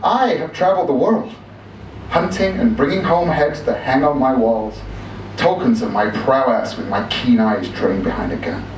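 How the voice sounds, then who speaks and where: A man's voice: mid-range voice, moderate pace, lightly acted. A man playing an obsessive, boastful aging hunter in a dramatic story read aloud, with pompous, old-fashioned, theatrical diction. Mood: boastful, indignant. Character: obsessive, boastful aging male big-game hunter, bald, wistful. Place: live reading in a room. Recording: echoey room from a distance, noisy.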